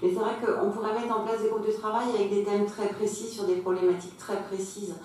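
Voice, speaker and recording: A woman's voice: mid-range voice, little pitch movement, brisk, a little mellow. A woman speaking off the cuff as a council member, explaining in a conciliatory way. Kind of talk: spontaneous speech in a formal meeting. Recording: very echoey hall, distant mic, faint noise.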